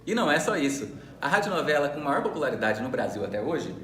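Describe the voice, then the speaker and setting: A man's voice: on the high side, big pitch swings, quick pace, fairly bright tone. Brazilian man presenting radio in an echoey room. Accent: Brazilian Portuguese. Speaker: co-host of a student radio program. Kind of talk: semi-scripted radio presentation with questions to a co-host. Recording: roomy echo, faint background noise.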